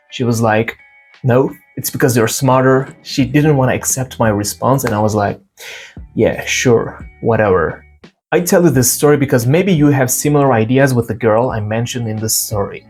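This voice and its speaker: A young man with a Turkish accent, English being his second language, talks straight to camera at an ordinary middle pitch. He is earnest and lively, acting out small scenes with quoted lines. A soft guitar track plays faintly behind him. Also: husky. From Turkey. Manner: earnest, animated retelling of stories with quoted dialogue. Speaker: English learning channel host. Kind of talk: direct-to-camera motivational talk.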